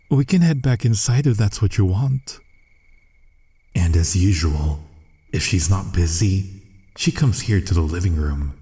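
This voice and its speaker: Man acting a soft, mellow, restrained bodyguard assassin. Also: fairly low pitch. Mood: polite, restrained. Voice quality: soft, gentle voice. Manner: restrained, dry, inner monologue and courteous address. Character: secret assassin posing as a polite, exasperated bodyguard. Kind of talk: ASMR roleplay audio acting.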